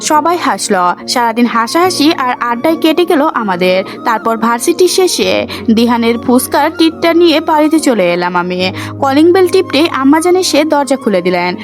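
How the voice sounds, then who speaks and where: High-pitched, lively woman storyteller. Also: normal speed, over music. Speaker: storyteller narrating a romance story in first person. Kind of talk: dramatized first-person story narration.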